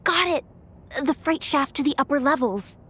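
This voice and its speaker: A woman's voice: high-pitched, animated, normal speed, bright, forceful tone. A woman voicing a cheeky, scheming young treasure hunter in a video game, chatty, dramatic and easily flustered. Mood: anxious, self-talking. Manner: chatty, dramatic, self-talking.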